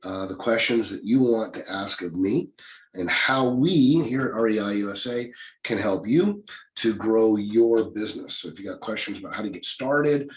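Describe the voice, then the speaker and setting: Low-voiced folksy man teaching. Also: animated, normal speed. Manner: folksy, encouraging, repeats "okay?". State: slightly raspy voice. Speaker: real estate investing teacher. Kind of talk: live online class, casual lecture. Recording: echoey room sound.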